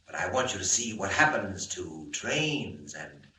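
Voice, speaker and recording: A distant, echoing old radio recording with faint hiss carries a cold male villain, the boss of a gang. His middle-pitched voice swings between quiet menace and sharp command, in deliberate, sinister 1940s serial style. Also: sing-song, exaggerated voice. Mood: quietly menacing.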